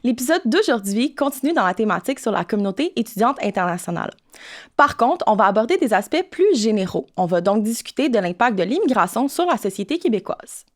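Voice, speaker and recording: A woman's voice: fairly high pitch, sing-song, a little fast. A woman hosting a podcast, introducing the episode and interviewing a guest in a casual, friendly way. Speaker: podcast host.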